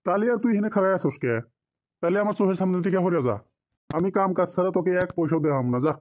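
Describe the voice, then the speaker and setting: A man's voice: high voice, racing, darkish tone, close to an everyday voice. A man voicing a callous, exploitative cartoon boss, scolding and dismissive in a rural Bengali dialect. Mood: scornful. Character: callous, exploitative boss and his bullying henchman. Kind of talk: cartoon drama dialogue.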